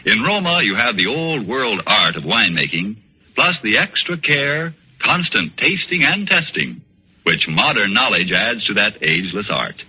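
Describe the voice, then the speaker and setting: A man's voice: lowish voice, very animated, normal speed, bright, forceful tone, a little hiss or hum. A man announcing a 1940s radio commercial with a polished, emphatic sales delivery. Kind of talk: 1940s radio advertisement read. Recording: muffled vintage 1940s radio recording.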